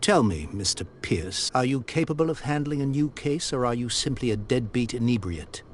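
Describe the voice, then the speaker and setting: A man voicing a stern, grieving old gentleman in a game, formal and haughty speech, mid-pitched voice, faint background hum. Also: expressive, moderate pace, lightly acted. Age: older. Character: older grieving industrialist, proud and stern. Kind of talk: game voice acting.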